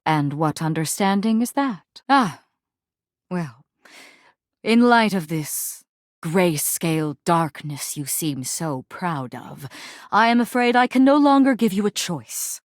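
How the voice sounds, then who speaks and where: Solemn, theatrical battle-weary general. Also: female voice, fairly low pitch, no room sound, studio quality. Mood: grave, resigned. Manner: theatrical, archaic poetic speech. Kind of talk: dramatic video game character acting.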